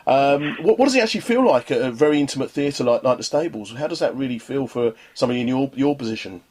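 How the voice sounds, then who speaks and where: A man's voice: mid-range voice, lively intonation, fast, a little mellow. A man hosting a casual radio interview, chatting in a rambling way with frequent tag questions. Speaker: radio show host.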